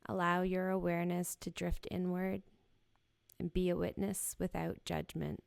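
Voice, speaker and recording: A woman guiding a yoga nidra body scan in a gentle, soothing, unhurried manner with short, spaced phrases. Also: lowish voice, level tone, creaky, no echo, studio quality. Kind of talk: guided meditation, slow body scan.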